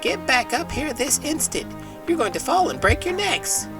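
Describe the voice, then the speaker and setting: A strict mother bird from a children's storybook, played by a man, loud and shrill. The voice leaps very high and scolds with stern indignation. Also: sing-song, normal speed, music in the background. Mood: alarmed scolding.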